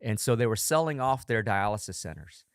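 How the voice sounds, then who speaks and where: Upbeat, smooth-voiced podcast host. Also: male voice, lowish voice, animated, fairly quick, no room sound, studio quality. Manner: upbeat, enthusiastic storyteller. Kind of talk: motivational podcast monologue. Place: studio.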